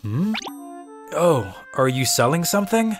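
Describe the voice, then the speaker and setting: A man's voice: fairly high pitch, very animated, unhurried, faint music behind. A man playing a weary, cynical young office worker who grumbles and narrates his own manga story in a dry, exasperated way. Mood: puzzled. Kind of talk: dubbed manga story narration in first person.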